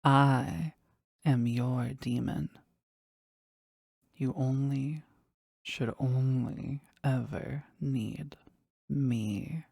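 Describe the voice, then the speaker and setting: A woman playing a powerful, jealous demon devoted to their master in an intimate audio roleplay, speaking deliberately and intensely in a husky, breathy voice. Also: fairly even intonation, a little mellow, dry recording, studio quality, full character voice. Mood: possessive, proud. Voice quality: husky, breathy, low creaky voice. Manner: slow, deliberate, intense, dramatic.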